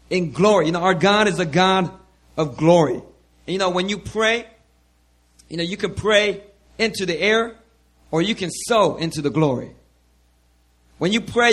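A man preaching to his church with a hoarse, worn-out voice, repeating hallelujah and calling out to the congregation over a handheld mic. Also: high voice. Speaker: pastor. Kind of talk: sermon.

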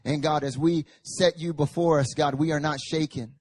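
A young male pastor with a hoarse, raspy voice from a recent chest infection, joking casually with the congregation and then reading Scripture and praying with rising fervor in a church service. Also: a little high, fairly even intonation, moderate pace, dry recording. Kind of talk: sermon.

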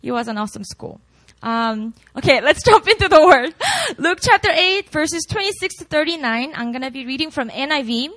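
Bright-voiced Korean-accented woman pastor. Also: high voice, expressive, normal speed, no echo. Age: late 20s. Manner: chatty, self-deprecating, laughs often. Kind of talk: sermon with scripture reading.

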